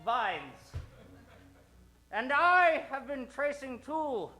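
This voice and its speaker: A gloating master-of-disguise villain in a comic radio play. The voice is very high and sharply forceful, theatrical and menacing, with faint music behind. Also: male voice, sing-song, very slow, exaggerated voice. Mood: gloating, triumphant. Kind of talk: comic old-time radio adventure acting.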